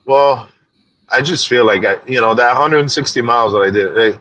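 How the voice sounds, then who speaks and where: Canadian male co-host, bright voice, halting, casual. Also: mid-range voice, no echo, low-quality recording. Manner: halting, restarts often. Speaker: rideshare podcast co-host. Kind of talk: casual live-stream chat.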